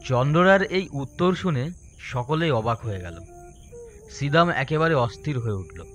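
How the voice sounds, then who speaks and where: A man's voice: medium pitch, expressive, normal speed, soft background music. A man narrating a scripted story as a storyteller.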